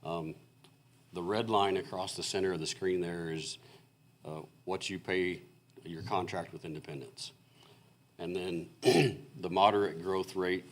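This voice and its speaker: Deep-voiced male engineer, hoarse from losing his voice, walking a city board through a report, halting and filler-heavy. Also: steady tone. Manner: halting and explanatory, many fillers and restarts. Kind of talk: technical presentation at a public meeting. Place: city council meeting room.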